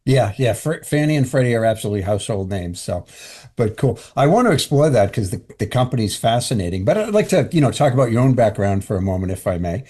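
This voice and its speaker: A man's voice: medium pitch, big pitch swings, fast, warm tone, breathy edge. A man hosting an interview podcast for finance executives, talking in a chatty way that often stumbles and restarts. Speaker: podcast host.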